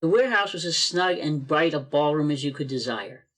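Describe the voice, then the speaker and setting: A man narrating an amateur radio play with expressive storytelling, read over a video call. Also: fairly high pitch, brisk.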